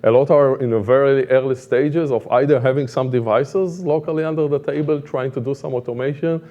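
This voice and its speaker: A man's voice: mid-range voice, fairly even intonation, dark tone, lo-fi sound. A middle-aged Israeli man with an Israeli accent giving an informal conference talk, feeling his way through phrases with repeats and fillers. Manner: halting, repetitive phrasing with frequent fillers. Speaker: conference speaker, product management director.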